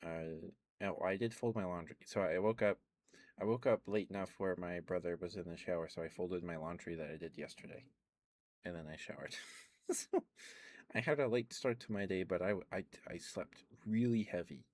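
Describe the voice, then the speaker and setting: A man with a very deep voice rambles softly into a close mic during a live ASMR stream. He meanders between topics, filling pauses with ums and small laughs. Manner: meandering, chatty, lots of ums and little laughs. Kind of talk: soft rambling ASMR chat with live viewers.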